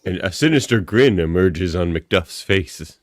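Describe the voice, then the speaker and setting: Low voiced guy narrating a script, lively intonation, bit of noise behind. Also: unhurried. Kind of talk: scripted narration of stage directions.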